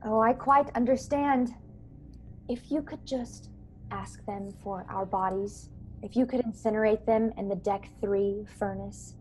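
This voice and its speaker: A woman's voice: medium pitch, steady tone, a little slow, darkish tone, lightly acted. Woman as earnest starship officer over noisy video call. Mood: polite, wistful. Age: young adult. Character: earnest, courteous young female starship officer, gently persuasive. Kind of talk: radio play acting over video call. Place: small bedroom, indoors. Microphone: earbuds on a video call. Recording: clear background noise on video call.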